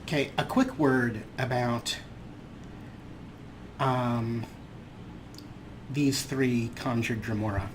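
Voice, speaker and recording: A man with a middle-pitched voice talks through his gameplay in a relaxed, explaining way, slipping in dry, wry jokes. Clear background noise runs behind his voice. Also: takes it slowly, long pauses. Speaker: let's play host. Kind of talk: casual game commentary.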